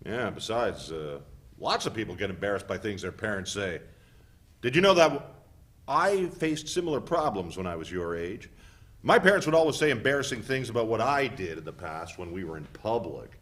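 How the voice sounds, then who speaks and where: A man playing a genial, corny middle-aged dad in a play reading, with a halting delivery. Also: on the low side, big pitch swings, fairly bright tone. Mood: reassuring. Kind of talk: dramatic reading of a student play.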